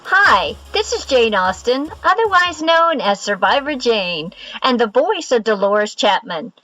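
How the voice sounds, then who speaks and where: A woman's voice: a little high, sing-song, unhurried, sharp tone, faint music behind, rough recording. A woman, an author, reading a scripted promo that personally invites listeners to her website.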